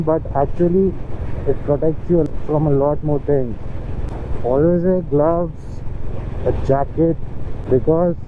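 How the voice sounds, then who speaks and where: A young man from Delhi with an Indian English accent, Hindi his first language, giving wry, chatty commentary and riding advice while riding a motorcycle through city traffic, recorded on a helmet camera. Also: on the high side. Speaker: motorcycle vlogger. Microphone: helmet-mounted action camera mic. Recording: tinny, lo-fi helmet cam audio with clear road noise. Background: traffic and engine noise.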